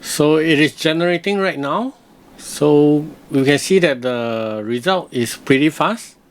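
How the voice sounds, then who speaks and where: A man giving casual screen-recorded software review commentary, thinking aloud in simple, hesitant phrases. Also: fairly high pitch, a little slow.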